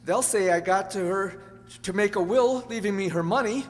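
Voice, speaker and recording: A man playing an earnest, anxious young husband in an amateur radio drama, halting and emphatic as he pleads. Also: high voice, lightly acted. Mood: shocked, agitated. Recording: echoey hall, faint hum.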